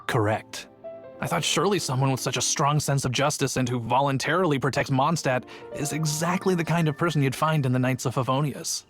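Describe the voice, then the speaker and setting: A man's voice: medium pitch, brisk, fairly bright tone, soft background music, lightly acted. An actor playing a chatty, earnest young male town guard telling his tale in a video game. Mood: dramatic, earnest. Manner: storytelling, earnest.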